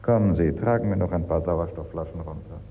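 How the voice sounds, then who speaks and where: A composed figure of authority in a radio drama. His voice is very low and creaky, speaking matter-of-factly. It sounds distant, in a long, hollow reverb. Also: a little fast, warm tone. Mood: brisk, serious. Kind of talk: German radio drama acting.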